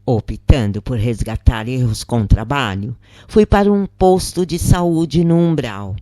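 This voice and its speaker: A narrator reading a scripted first-person account in measured phrases with pauses. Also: medium pitch, lively intonation, warm tone, dry recording, studio quality.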